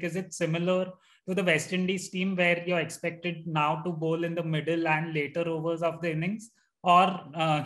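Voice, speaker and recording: A man's voice: fairly high pitch, a bit bright. A young man hosting an interview over a video call, asking long prepared questions. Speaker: host. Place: indoor room.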